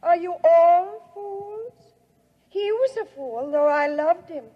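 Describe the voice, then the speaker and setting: An actress playing a sorrowful, disdainful alien wife in a 1950s radio drama, speaking in a solemn, formal and deliberate way. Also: female voice, very high-pitched, lo-fi sound. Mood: grieving, scornful. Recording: long cavernous reverb, vintage radio.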